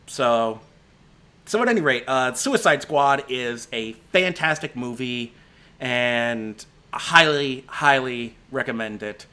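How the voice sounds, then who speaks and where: A man on a home stream, his voice going hoarse and thin as he talks. The tone stays bright and edged despite the strain. He rambles loosely, stopping and restarting sentences with plenty of "uh" and "you know.". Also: medium pitch, animated, slow. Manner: rambling, frequent restarts and fillers. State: voice giving out, slightly hoarse. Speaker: movie critic. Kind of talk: casual spoken movie rundown on a stream.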